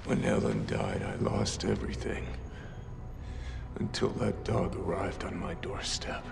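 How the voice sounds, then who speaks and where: A grown man acting in film scenes as a grim, restrained figure who speaks low and intensely, often close to a whisper. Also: sing-song, unhurried, heavily acted. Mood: brooding. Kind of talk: film dialogue. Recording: film soundtrack with clear background noise.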